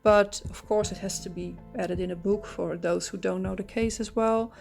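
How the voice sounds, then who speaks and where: Dutch-accented woman with a gravelly voice. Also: mid-range voice, fairly even intonation, normal speed, darkish tone, soft background music. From Netherlands. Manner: chatty, thinking aloud with frequent ums and restarts. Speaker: true crime channel host. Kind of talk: book review narration.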